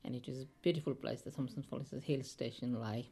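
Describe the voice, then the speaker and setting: Halting, gravelly-voiced man in his fifties. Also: medium pitch, fairly even intonation, mellow. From India. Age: 50s. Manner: halting, with many pauses and fillers. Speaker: oral history interviewee. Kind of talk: recalling his life story.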